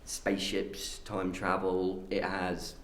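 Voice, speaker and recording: A man's voice: low-pitched, monotone, slow pace, fairly bright tone. A man chatting casually in an interview, recorded in an echoey room. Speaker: auditioning actor. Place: university room. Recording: echoey room, distant mic, faint noise.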